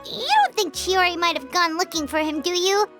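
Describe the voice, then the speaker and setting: A woman playing a small childlike fairy companion in a video game, with a squeaky, chatty, exclamatory delivery. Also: fairly quick, fairly bright tone, faint music behind. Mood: concerned. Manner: exclamatory, refers to self in third person. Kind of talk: video game character acting.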